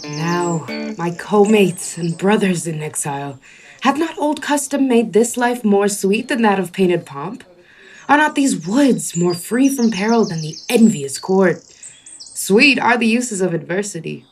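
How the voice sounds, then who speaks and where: A woman's voice: medium pitch, animated, measured pace, breathy edge. A woman playing a genial, philosophical exiled duke in a Shakespearean radio play, reflective and oratorical. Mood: contented, reflective.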